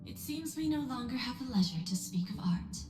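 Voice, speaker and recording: A woman playing a poised, enigmatic female executive in a video game, elegant, deliberate and knowingly probing. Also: fairly high pitch, animated, lightly acted. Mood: amused, elegant. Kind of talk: scripted video game voice acting. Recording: echoey room, music behind.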